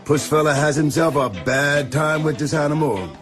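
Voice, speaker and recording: A man's voice: on the high side, fairly even intonation, moderate pace, over music, natural voice. A man acting in a radio drama as a loyal, easygoing island fisherman sidekick, with a Caribbean accent and a folksy, deferential manner. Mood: cheerful.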